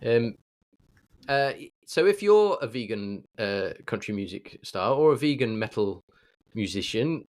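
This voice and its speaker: A man's voice: fairly low pitch, lively intonation, a little slow, darkish tone. A man with a British accent chatting casually as a podcast co-host, given to jokey, sarcastic banter. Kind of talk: casual chat.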